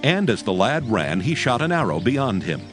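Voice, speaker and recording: A man's voice: medium pitch, sing-song, normal speed, fairly bright tone. A man giving a solemn, scripted dramatic narration of scripture in a storytelling manner. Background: music.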